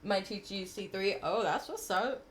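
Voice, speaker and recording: A woman's voice: mid-range voice, expressive, normal speed, a little hiss or hum. A woman casually commentating a game livestream and chatting with viewers, enthusiastic and full of gamer slang. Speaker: game streamer.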